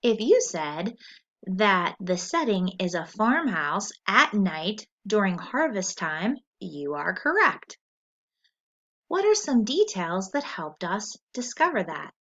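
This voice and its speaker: Expressive, unhurried woman teacher reading aloud. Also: medium pitch. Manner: instructional, expressive, deliberate. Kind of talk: scripted story read-aloud with comprehension questions. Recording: dull lo-fi recording.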